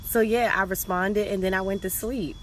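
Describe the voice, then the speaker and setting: A woman's voice: mid-range voice, steady tone, moderate pace, slight background noise. A young woman telling a personal story in a casual, chatty way, candid and slangy, with emphatic repeated phrases. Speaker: storytelling vlogger.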